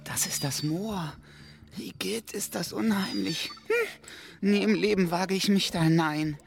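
A man voicing a timid, lonely little male creature in a children's radio play, anxious and jumpy in pitch. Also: a little slow, gravelly. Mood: fearful. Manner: anxious, shrill jumps in pitch when alarmed. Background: soft music.

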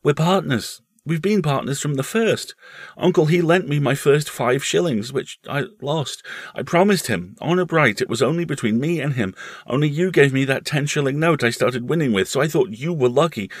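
Man voicing an earnest, high-pitched young boy. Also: lively intonation, normal speed, no echo, studio quality. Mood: earnest, confiding. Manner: solemn, earnest, careful. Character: earnest young boy, grave and secretive, polite nephew.

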